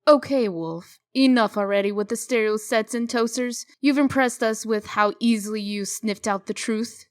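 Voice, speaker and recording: Woman acting high-voiced, sharp-tongued stern woman. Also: normal speed, lightly acted. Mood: sarcastic, impatient. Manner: sarcastic, confrontational. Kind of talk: comic dub acting.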